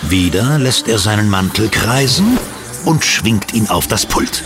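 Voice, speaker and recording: A man narrating a scripted German children's audio drama in an expressive storytelling manner. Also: on the low side, unhurried, over music.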